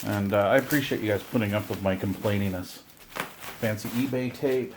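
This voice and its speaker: A man's voice: on the low side, fairly quick, noisy background. A middle-aged man chatting casually as he hosts an unboxing video, rambling along with a self-deprecating, grumbling streak. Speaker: video host unboxing radio gear. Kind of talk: casual unboxing chat to camera.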